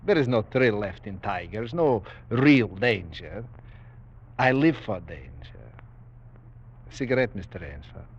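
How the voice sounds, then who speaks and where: An actor playing a suave, menacing aristocratic general who hunts men for sport, speaking with courtly, formal boastfulness in 1940s radio style. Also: male voice, lowish voice, lively intonation, a little slow, long pauses, breathy edge, exaggerated voice. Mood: smugly confiding. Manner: courtly, formal, coolly boastful, savoring his words. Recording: dull vintage 1940s radio broadcast.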